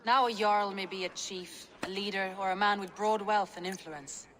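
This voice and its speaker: A woman voicing a composed, learned Viking woman who explains things calmly. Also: mid-range voice, moderate pace, natural voice. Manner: calm, explanatory. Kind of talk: video game cutscene acting.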